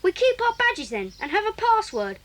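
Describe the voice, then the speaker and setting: A woman's voice: very high-pitched, sing-song, normal speed, a bit bright, exaggerated voice. A woman voicing an eager young child in an 1980s audio drama, pleading and persuasive.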